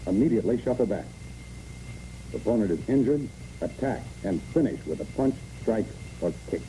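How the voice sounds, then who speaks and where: Dark-voiced man narrating a training film. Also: on the low side, lively intonation, brisk. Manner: clipped, imperative delivery. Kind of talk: scripted instructional narration. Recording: muffled old training film, background noise.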